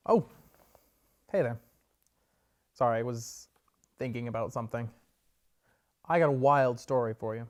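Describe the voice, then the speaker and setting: A woman playing a pensive, absent-minded storyteller in an audio drama monologue, musing and halting. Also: a bass voice, darkish tone. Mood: friendly, distracted. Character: distracted, pensive storyteller marvelling at strange science.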